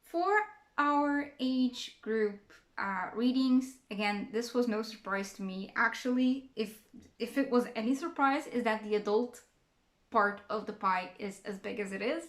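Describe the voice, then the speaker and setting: A woman's voice: a little high, a little slow, reverberant. A young woman from Portugal, a native Portuguese speaker, chatting casually as a book vlogger and thinking aloud with fillers and restarts. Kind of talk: casual reading-stats chat to camera.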